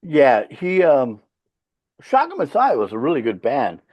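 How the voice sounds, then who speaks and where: A man from Finland, raised speaking Swedish, talks bluntly and with plenty of swearing as he explains how his band works. His pitch sits in an ordinary middle range. The audio is a little dull and lo-fi. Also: wildly swooping pitch, unhurried. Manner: blunt, profane, emphatic storytelling. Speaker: rock band leader, podcast guest. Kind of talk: casual interview chat.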